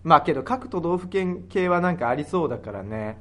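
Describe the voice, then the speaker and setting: Blunt man with a lively, higher-pitched voice. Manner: blunt, bickering banter, quick to dismiss ideas. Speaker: radio show host. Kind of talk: casual chat.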